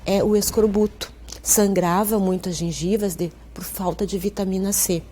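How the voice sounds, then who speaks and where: A woman's voice: mid-range voice, steady tone, moderate pace, mellow. A woman with a southern Brazilian accent explaining a historical point, posing and answering her own question. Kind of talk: explanatory account.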